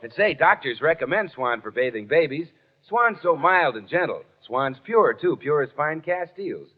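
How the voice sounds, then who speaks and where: A man's voice: a little high, animated, moderate pace. An American man announcing on 1940s radio with an upbeat salesman's delivery. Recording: muffled, hissy 1940s radio broadcast.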